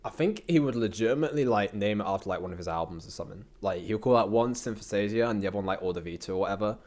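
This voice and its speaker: A man's voice: fairly low pitch, very fast, darkish tone. A young man chatting casually as an interview guest, rambling along in a slangy, jokey way. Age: young adult. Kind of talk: casual chat.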